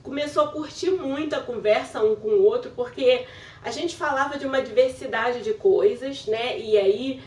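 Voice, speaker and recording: A woman's voice: fairly high pitch, normal speed, darkish tone. A woman from Brazil telling her story casually to camera, often laughing and explaining things for her husband. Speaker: vlogger.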